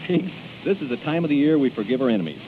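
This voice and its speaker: A man's voice: mid-range voice, big pitch swings, quick pace, background noise. A man hosting in 1930s radio style, theatrical as he moves between comic banter and announcing. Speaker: radio show host and announcer. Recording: tinny 1930s radio broadcast, loud music.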